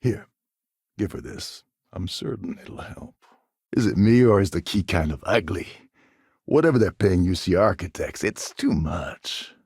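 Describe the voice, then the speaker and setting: An actor voicing a cynical, scheming space pirate pilot in a video game, with a gravelly, husky voice and a wry delivery. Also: male voice, sits low, lively intonation, measured pace, a little mellow, no room sound, studio quality, exaggerated voice. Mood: dry, resigned. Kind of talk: video game character dialogue.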